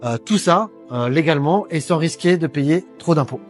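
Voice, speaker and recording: A middle-aged man giving an earnest sales pitch for a training program, talking straight to the listener and stumbling over frequent fillers. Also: moderate pace. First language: French. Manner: hesitant, many fillers, earnest persuading. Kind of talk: direct-to-camera sales pitch. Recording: faint background music.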